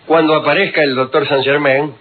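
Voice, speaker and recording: A man's voice: on the high side, steady tone. Wry man on lo-fi old radio. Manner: ironic, digressive storyteller with quick asides. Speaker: radio host. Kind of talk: humorous radio talk. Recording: lo-fi phone-like archive radio with noise.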